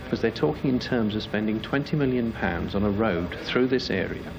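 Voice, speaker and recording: A man delivers a campaign speech in a fairly low voice. Clear urban noise, with traffic, runs behind his words. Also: lively intonation, fairly quick. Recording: clearly noisy, urban background sound.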